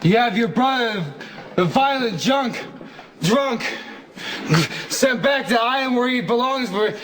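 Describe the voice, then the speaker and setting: An actor playing a furious, self-loathing drunk young man, loud and taunting, in a soap drama. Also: extremely high pitch, unhurried, slight background noise. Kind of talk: television soap drama acting.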